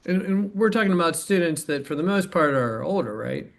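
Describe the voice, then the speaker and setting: A man's voice: on the high side, lively intonation, brisk. Man playing supportive, explanatory center director. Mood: approving, earnest. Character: supportive male university center director praising colleague. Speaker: performer portraying a center director. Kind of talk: dramatized interview narrative, conversational.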